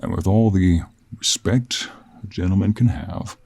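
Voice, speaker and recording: A man playing a gruff, gentlemanly cowboy monster hunter in an intimate audio roleplay, with an American Western accent, a gravelly, husky voice and a slow, courteous drawl. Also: sits low, heavily acted. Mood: earnest, gentle. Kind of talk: intimate one-sided audio roleplay acting.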